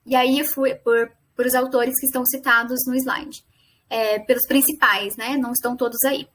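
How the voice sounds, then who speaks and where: A young woman with a Brazilian accent giving an academic presentation as a student, explaining in a halting way and correcting herself. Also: high voice, a bit bright. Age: young adult. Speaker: student presenting a final project.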